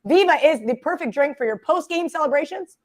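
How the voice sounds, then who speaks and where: A woman with a raspy voice hosting a sports betting podcast, joking and chatty as she reads the sponsor spot. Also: high voice, expressive, fast. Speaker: podcast host.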